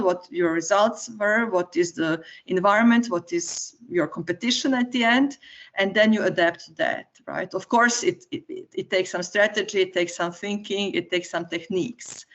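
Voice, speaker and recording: A woman's voice: on the low side, lo-fi sound. A woman from Serbia, a guest on a podcast, explaining her views and often ending points with a questioning "right?". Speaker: guest, entrepreneur. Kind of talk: podcast interview answer. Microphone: remote call.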